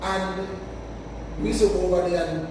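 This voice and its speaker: A man in his sixties with a Tobagonian accent and a high voice, heard from a distance in an echoey room. Steady background noise runs under his speech. He reminisces and keeps chiming in with quick affirmations. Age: 60s. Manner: reminiscing, many affirming interjections. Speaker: host. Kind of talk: community interview.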